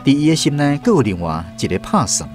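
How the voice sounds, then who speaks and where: A man's voice: medium pitch, very animated, fairly quick, a little mellow. A man with a Taiwanese accent narrating a radio drama as a scripted storyteller. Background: music.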